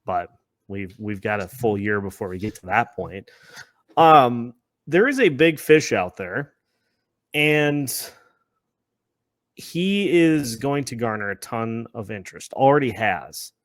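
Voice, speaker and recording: A man speaks as a sports podcast host at a middling pitch. He talks conversationally and often restates a phrase while building toward his point. The recording is dry, with very little room sound. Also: expressive, takes it slowly, no echo. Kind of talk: conversational sports discussion with scripted-sounding intro.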